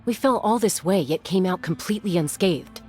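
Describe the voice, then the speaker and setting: A woman voicing a calm, knowing, serious mystic guide in a video game, measured and explanatory. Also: lowish voice, faint music behind, natural voice. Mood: calm, explaining.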